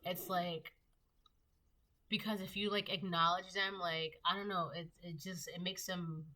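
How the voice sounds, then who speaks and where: A woman's voice: on the low side, flat delivery, measured pace, bright, forceful tone. A woman with a stuffy nose giving a casual tarot reading. She rambles loosely, with many fillers and restarts. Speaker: tarot reader.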